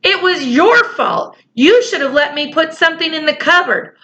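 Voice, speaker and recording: An excitable, stubborn young boy, acted out by a woman reading a storybook aloud. His voice jumps well above her own, pitched high and pushed, the words strained and insistent. Bright and forceful, he sounds like a child who won't take no. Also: moderate pace, full character voice. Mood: sulky. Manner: pleading, excitable.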